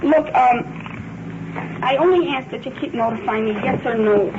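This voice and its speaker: A woman's voice: on the high side, normal speed. A distraught woman, a worried mother, talking emotionally with police officers. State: anxious, distraught. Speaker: mother of a missing child. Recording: echoey muffled field tape, noisy.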